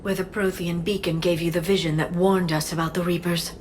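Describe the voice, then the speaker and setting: A woman voicing a grave, thoughtful alien scholar in a video game, soft and measured. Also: lowish voice, level tone, a little hiss or hum, natural voice. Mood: solemn.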